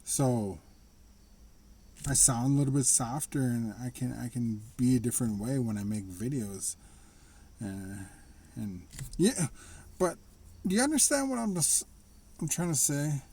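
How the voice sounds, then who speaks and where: A man talking casually to the camera in a slightly raspy voice, rambling and restarting his sentences. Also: mid-range voice, expressive, warm tone. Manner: rambling, halting, with frequent restarts and laughs. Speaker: vlogger. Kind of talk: casual personal monologue.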